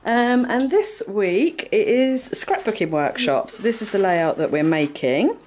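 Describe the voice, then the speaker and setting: A woman's voice: mid-range voice, lively intonation, measured pace, darkish tone. An English woman hosting a casual craft vlog, chatty and upbeat, with lots of fillers. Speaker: vlogger, craft shop owner. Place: craft studio and shops.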